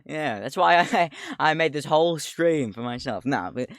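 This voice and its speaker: A man in his twenties with a deep voice, chatting casually on a livestream, rambling, laughing often, swearing and getting sidetracked. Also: lively intonation, moderate pace, fairly bright tone, dry recording, clean studio sound. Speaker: streamer.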